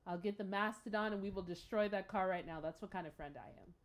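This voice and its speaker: Expressive woman with dramatic emphasis. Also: mid-range voice, fast. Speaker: reaction show host. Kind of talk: casual reaction commentary.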